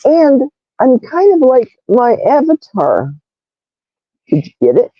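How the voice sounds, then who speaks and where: A woman with a loud, raspy, brash voice hosting a casual livestream, reading chat comments aloud and greeting viewers. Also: high voice, takes it slowly, no room sound, dull audio. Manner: animated, reads comments aloud, squeals greetings. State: hoarse, vocal fry.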